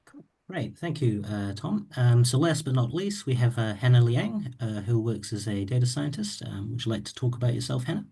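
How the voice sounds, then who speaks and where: A man's voice: medium pitch, very animated, normal speed, gravelly, warm tone, slightly rough. A man, hosting a webinar in an easygoing way with many ums. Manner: easygoing, with frequent ums and uhs. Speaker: webinar host, moderator. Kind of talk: moderating a webinar.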